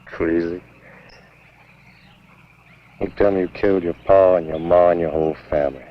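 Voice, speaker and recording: A man playing a smooth-talking, cowardly backwoods ladies' man with an American accent, in 1950s B-movie style. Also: low-pitched, steady tone, moderate pace, close to an everyday voice. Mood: smooth, sympathetic. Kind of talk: 1950s B-movie film acting. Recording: muffled, noisy old 1950s film soundtrack.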